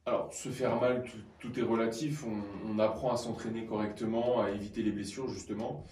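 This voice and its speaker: A man gives conversational answers as a guest athlete on radio. His voice is fairly low, and the sound is roomy and a bit distant from the microphone. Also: fairly even intonation, brisk, darkish tone. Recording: roomy, slightly distant mic.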